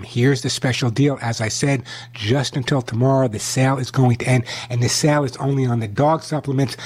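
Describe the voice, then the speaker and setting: A man hosting a call-in radio pet show, from New York, talking fast and repeating himself as he pitches products and gives advice. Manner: rapid, repetitive sales pitch and chatty advice.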